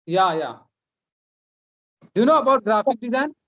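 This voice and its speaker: A man's voice: extremely high pitch, very animated, moderate pace, a bit bright, dull audio. A man from India chatting casually in as a practice conversation, hesitant and repeating phrases as he goes. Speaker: host.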